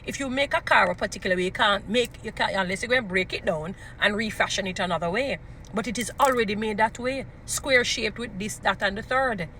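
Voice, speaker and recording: Jamaican woman, strong mid-pitched voice, emphatic preachy advice-giver, informal delivery, some outdoor urban noise behind. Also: fairly quick, sharp tone, low-quality recording. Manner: emphatic, preachy, repeating short phrases for emphasis. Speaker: relationship advice speaker. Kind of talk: informal motivational advice talk.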